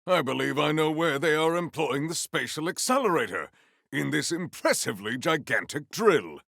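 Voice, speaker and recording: An actor playing a noble, earnest warrior hero in a video game, speaking in a pompous, formal and grandiose way. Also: male voice, a little high, sing-song, normal speed, gravelly, fairly bright tone, no room sound, clean studio sound, heavily acted. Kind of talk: video game character voice acting.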